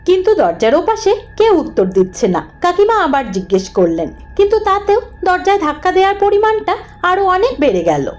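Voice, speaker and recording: A woman narrating a scripted horror cartoon story in the first person, dramatic and expressive. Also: very high-pitched, a little fast, dull audio, a little hiss or hum.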